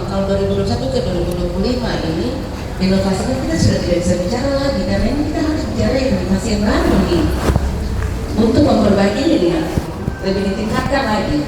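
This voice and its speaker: A woman's voice: mid-range voice, background noise. A woman on a judging panel, asking questions at length and adding joking asides. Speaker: competition judge. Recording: echoey hall, mic far off.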